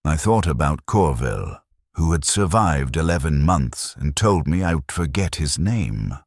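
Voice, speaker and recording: A man narrating a scripted first-person military story in a detached, measured, clinical manner. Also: very deep voice, sing-song, dry recording, clean studio sound.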